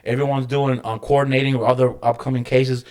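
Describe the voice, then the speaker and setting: A man co-hosting a podcast in loose, relaxed chat. He tells stories with animated energy, swears freely, and throws in slang, speaking in an ordinary mid-range voice. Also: mid-range voice, brisk, a crowd in the background. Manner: animated, profane storytelling. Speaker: podcast co-host; hospital medical worker.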